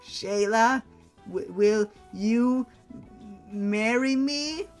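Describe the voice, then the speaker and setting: A man voicing a tender young man who comforts his girlfriend, speaking softly and slowly. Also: very high-pitched, faint music behind, pitched above the performer's own voice, full character voice. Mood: nervous. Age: young adult. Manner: soft, slow and hushed.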